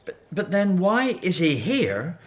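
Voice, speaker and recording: Anxious Hebrew husband played by a woman, radio drama. Flustered, stammering, extremely animated delivery. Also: fairly low pitch, slow. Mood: flustered, alarmed. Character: anxious, bewildered Hebrew slave husband and father.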